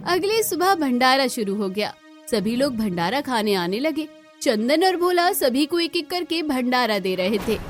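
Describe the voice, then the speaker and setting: A woman tells a cartoon story in a very high voice. The delivery is scripted storytelling, with music playing underneath. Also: very animated, a little slow.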